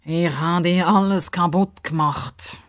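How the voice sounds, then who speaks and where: A woman voicing a touchy, eager young male crocodile in a children's story, with drawn-out, grumbling delivery. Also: lowish voice, fairly even intonation. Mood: sad.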